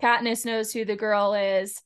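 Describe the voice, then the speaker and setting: A woman's voice: mid-range voice, little pitch movement, measured pace, clear, resonant tone. A woman co-hosting a book podcast, recapping a story in casual, informal talk full of filler likes.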